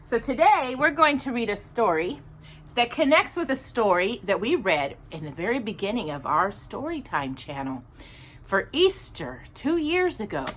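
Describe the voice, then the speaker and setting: Storytime lady reading to kids, bright clear-cut delivery, takes it slow, casual little asides. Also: medium pitch, a little hiss or hum. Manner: animated, deliberate storybook delivery. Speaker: storytime channel host reading to children. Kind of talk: expressive read-aloud storytelling with casual asides.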